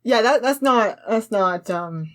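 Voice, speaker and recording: A woman's voice: a little high, lively intonation, unhurried, fairly bright tone. Opinionated woman in casual discussion. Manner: opinionated, argumentative. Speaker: podcast co-host. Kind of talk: casual discussion, reading a script aloud with commentary.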